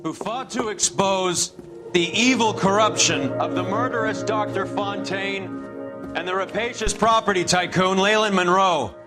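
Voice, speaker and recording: A man's voice: high voice, measured pace, music in the background. A man playing a solemn eulogist in a game drama, speaking in a formal, grandiose, oratorical way. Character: solemn, smooth-talking man delivering a funeral eulogy.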